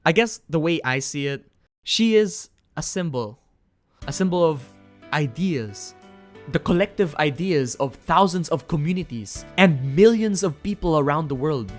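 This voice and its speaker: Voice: mid-range voice, a little slow. Young video essayist over background music. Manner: animated, casual, swearing, shifts between earnest and playfully exaggerated. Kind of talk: scripted video essay narration with joking asides.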